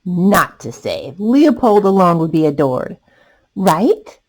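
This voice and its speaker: Female storytime host, picture-book read-aloud, very varied pitch, slow delivery, chatty asides for kids. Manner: animated, addressing young listeners.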